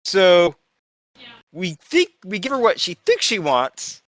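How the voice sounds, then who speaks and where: Higher-voiced man rambling in game chat. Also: wildly swooping pitch, takes it slowly, a bit bright, no echo. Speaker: tabletop game player.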